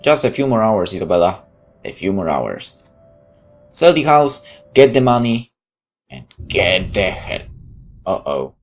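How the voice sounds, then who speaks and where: A woman's voice: extremely low pitch, sing-song, a little slow, long pauses, soft background music. A woman, a native Polish speaker, reading game narration aloud and commenting casually as a streamer, often stumbling and correcting herself. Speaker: let's play streamer.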